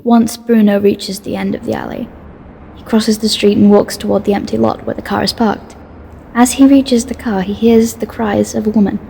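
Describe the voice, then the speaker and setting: A woman's voice: mid-range voice, fairly even intonation, normal speed, creaky, mellow, slight background noise. A woman reading scripted story narration for an audio drama.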